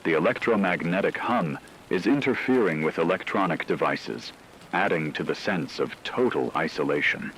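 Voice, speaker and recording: A man performs a solemn male news anchor in a radio drama, with a deep voice. He reads ominous emergency bulletins in a measured, scripted way, with a light bed of noise behind him. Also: animated, a bit bright, poor audio. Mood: grave, ominous. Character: grave male radio news anchor reporting a werewolf apocalypse.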